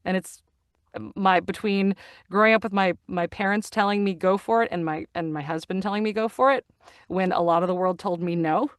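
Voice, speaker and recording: This is a middle-aged woman talking as a guest, winding through stories with plenty of fillers and restarts. Her voice is smooth and resonant. The recording is dry, with no room sound. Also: medium pitch, fairly quick, dry recording. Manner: chatty, animated storyteller with frequent fillers and laughs. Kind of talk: casual interview chat.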